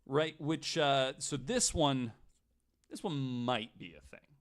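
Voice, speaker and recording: A man's voice: medium pitch, slow. A man in casual podcast conversation, retelling researched stories with a joking, sarcastic, sometimes sweary manner. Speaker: podcast storyteller on a panel.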